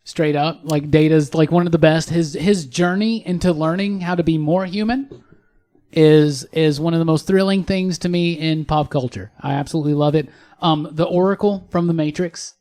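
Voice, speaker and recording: A man's voice: a little high, fairly even intonation, moderate pace, dark tone. A man co-hosting a casual podcast, talking with enthusiasm and emphasis. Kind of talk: casual panel discussion. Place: podcast studio.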